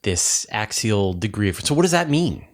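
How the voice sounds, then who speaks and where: A man's voice: fairly low pitch, very animated, moderate pace. A man hosting a conversational science interview, inquisitive and thinking aloud as he rephrases things for a lay audience. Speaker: interview host.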